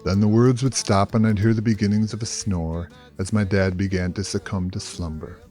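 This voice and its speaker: Deep, bright-voiced man narrating. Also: flat delivery, moderate pace. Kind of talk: scripted first-person story narration. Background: music.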